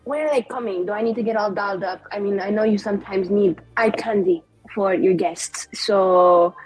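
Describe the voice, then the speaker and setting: A woman's voice: mid-range voice, normal speed, darkish tone, husky, faint music behind, close to an everyday voice, rough recording. A woman playing a cocky, spiteful, foul-mouthed female mage in an improvised tabletop roleplay, with brash slangy delivery. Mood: sassy, spiteful.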